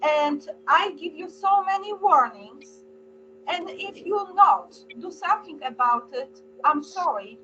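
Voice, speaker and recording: A woman's voice: very high voice, expressive, measured pace, sharp tone. A woman from Poland with a Polish accent, speaking earnestly and somewhat hesitantly in an informal panel talk. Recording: dull lo-fi sound, humming noise.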